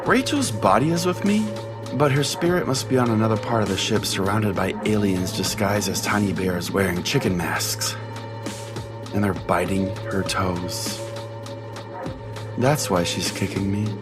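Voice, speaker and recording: A man's voice: lowish voice, a little slow, close to an everyday voice. A man playing an eccentric pastor who hosts a late-night call-in radio show in a theatrical, mock-solemn way. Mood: rambling, absurd. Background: music.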